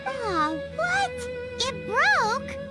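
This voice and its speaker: Tiny squeaky game fairy kid, super high n excitable, takes her time, music blaring. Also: very slow, full character voice. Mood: dismayed, eager. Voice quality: squeaky, childlike. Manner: chirpy, exclamatory, speaks in third person. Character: tiny childlike excitable female floating fairy companion. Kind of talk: video game voice acting.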